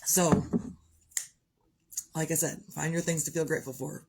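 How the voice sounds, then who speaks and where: A woman with a congested voice who keeps clearing her throat, talking on a live video in a chatty, upbeat, rambling way about gratitude and her morning. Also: low-pitched, steady tone, moderate pace, a little mellow, a little hiss or hum, low-quality recording. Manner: chatty, upbeat, wanders off topic. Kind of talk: rambling motivational chat.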